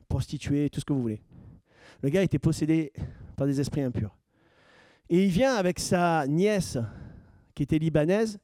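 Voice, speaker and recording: A man's voice: a little high, dark tone, dry recording. A man preaching as pastor, questioning his congregation and building to emphatic points. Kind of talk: sermon teaching.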